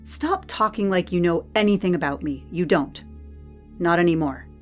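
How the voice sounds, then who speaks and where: A woman's voice: lowish voice, normal speed, lightly acted. A woman acting a guarded, blunt arena worker. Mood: guarded, annoyed. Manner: blunt, dry, pressing. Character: guarded, weary, probing female arena worker. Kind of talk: audio drama acting. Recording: music under the voice.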